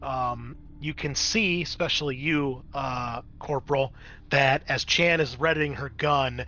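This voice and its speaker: Bright-voiced male game master, slightly muffled audio. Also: mid-range voice, measured pace. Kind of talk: improvised tabletop game narration and rules talk.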